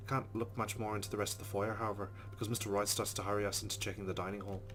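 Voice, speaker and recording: A man's voice: fairly low pitch, flat delivery, racing, a bit bright, a little hiss or hum. A man reading a game's narration aloud, adding wry asides. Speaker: let's play host. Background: game music.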